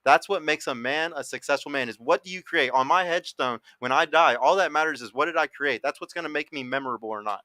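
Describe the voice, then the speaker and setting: A man's voice: on the high side, fast talker, hardly any pauses, pushed and bright, dry recording. A man in his thirties hosting a dating podcast, giving blunt, emphatic opinions in casual panel talk and swearing freely. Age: 30s.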